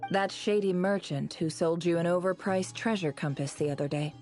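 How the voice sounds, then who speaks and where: An actress playing a composed, calculating female boss in a game cutscene, speaking in a cool, deliberate, commanding way. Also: lowish voice, a little mellow, faint music behind, close to an everyday voice. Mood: cool, decided. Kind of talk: video game cutscene voice acting.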